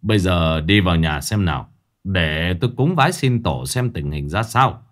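A man voicing a calm village shaman who steadies a crying woman. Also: low-pitched, moderate pace, pitched below the performer's own voice. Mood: soothing. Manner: calm, soothing.

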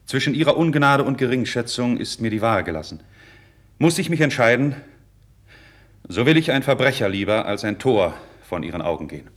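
A man's voice: mid-range voice, lively intonation, fairly quick, close to an everyday voice. An actor playing an idealistic, proud young nobleman in a classical radio drama, with earnest, declamatory, formal diction. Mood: proud, resolute. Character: idealistic young nobleman, passionate, proud, candid before his king. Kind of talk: 1950s German radio drama, classical verse acting.

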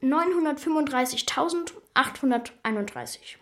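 Young child prodigy, high-pitched, earnest, precise, audio drama. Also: female voice, animated, moderate pace, a bit bright. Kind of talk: German audio drama acting.

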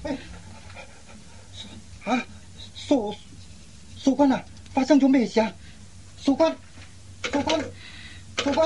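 A woman voicing a gentle, concerned young man in a radio drama, with an earnest, solicitous manner. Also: high voice, takes it slowly, pauses often, slightly rough, exaggerated voice. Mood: puzzled, alarmed. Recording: faint background noise.

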